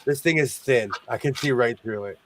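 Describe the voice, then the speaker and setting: A man's voice: medium pitch, moderate pace, fairly bright tone, slight background noise. A man hosting a sewing show, chatting casually and jokily while he works, often trailing off mid-sentence.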